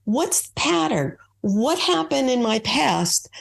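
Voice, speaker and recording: A woman's voice: sits high, sing-song, slow pace, vocal fry, slightly rough. A woman from Long Island, a guest author who explains things step by step in casual interview talk, with hesitant fillers. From Long Island, New York.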